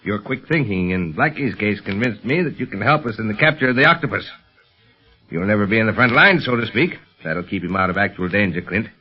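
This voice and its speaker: Muffled 1930s radio police chief. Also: male voice, fairly low pitch, expressive, husky. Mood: persuasive, firm. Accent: American. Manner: formal, deliberate, weighty delivery. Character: authoritative male secret police chief, earnest and commanding. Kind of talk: 1930s radio adventure serial acting. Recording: dull, band-limited 1930s radio recording.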